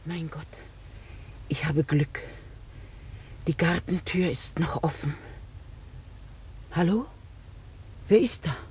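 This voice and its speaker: Formerly rich lady in a radio play, low mellow voice, polite but evasive. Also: slow pace, long pauses, a little hiss or hum. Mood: agitated, relieved. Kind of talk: German radio play acting.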